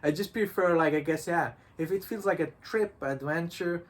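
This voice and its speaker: Guy with a slightly high voice, non-native english, casual chat, halting but keen. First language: not English.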